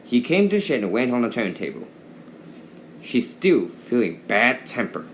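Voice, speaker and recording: A man narrating a children's read-along story, haltingly, often stumbling and repeating words. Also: mid-range voice, big pitch swings, slight background noise.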